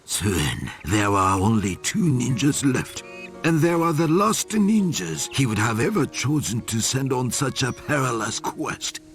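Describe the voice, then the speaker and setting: An actor playing a solemn storyteller, narrating an ancient legend for a video game with theatrical, deliberate emphasis. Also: male voice, medium pitch, sing-song. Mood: grave. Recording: loud music behind the voice. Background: loud game music.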